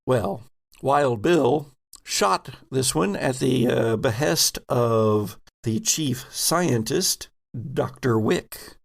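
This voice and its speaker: Guy running a tabletop rpg podcast, unhurried storyteller, gravelly edge, dry close sound. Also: medium pitch, lively intonation, dry recording. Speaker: game master and podcast host. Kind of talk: narrating an actual-play tabletop game.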